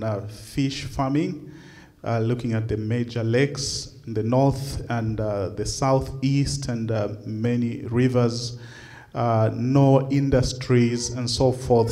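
Zambian bishop lecturing at a podium mic, slow and careful, lots of uhs. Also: male voice, medium pitch, warm tone. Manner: measured, with frequent uh and um pauses. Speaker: bishop giving a conference talk. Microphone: podium microphone.

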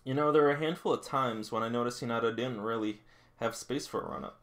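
A man's voice: mid-range voice, fast, a bit bright. Man reading aloud, stumbling now and then. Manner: stumbles and rereads lines, chuckles at the story. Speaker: manga read-along host. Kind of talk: reading manga aloud with casual commentary. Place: small indoor room with figurines.

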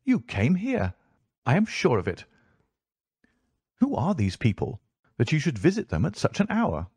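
A man's voice: on the high side, huge pitch swings, measured pace, a little mellow, breathy edge, dry recording, heavily acted. A man voicing a troubled, suspicious husband in an audiobook, insistent, stern and agitated. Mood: accusing. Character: troubled, suspicious husband confronting his wife. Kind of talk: audiobook character dialogue.

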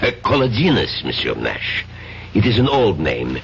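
A smoothly menacing gentleman with a low, creaky voice that swoops through formal, courteous phrases. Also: huge pitch swings, heavily acted. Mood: courteous, probing. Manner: formal, deliberate, silkily courteous. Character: suave foreign man, smoothly menacing interrogator. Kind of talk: 1970s radio drama acting. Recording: dull 1970s radio broadcast, faint hiss.

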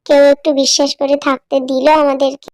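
Woman doing a high, fretful cartoon village wife, caring, dry close sound. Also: monotone, brisk, a little mellow, dry recording. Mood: anxious.

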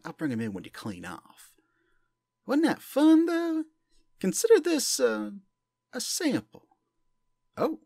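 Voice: medium pitch, gravelly, darkish tone, no room sound, clean studio sound, heavily acted. An amateur actor voicing a flirty, motherly pink balloon elephant who serves at a soda bar, with a folksy, coaxing manner in an audio play. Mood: cheerful. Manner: folksy, coaxing, calls listener sweetie and dear. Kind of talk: amateur audio play, second-person roleplay monologue.

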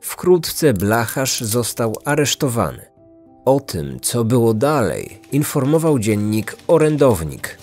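A man's voice: mid-range voice, big pitch swings, slow pace, a little mellow. A man hosting and narrating a true-crime story from a script. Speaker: podcast host, narrator. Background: background music.

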